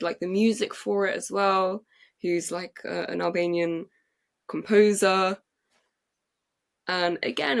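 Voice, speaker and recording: A young English woman, a playwright and actress, telling her story in an excited, chatty way with many fillers and restarts. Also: medium pitch, gravelly. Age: young adult. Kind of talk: casual interview storytelling. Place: podcast recording.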